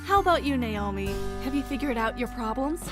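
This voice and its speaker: A woman's voice: fairly high pitch, animated, brisk, over music, lightly acted. A woman voicing a bubbly, affectionate young waitress with a gushing manner, for a visual novel. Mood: playful. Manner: gushing, giggly.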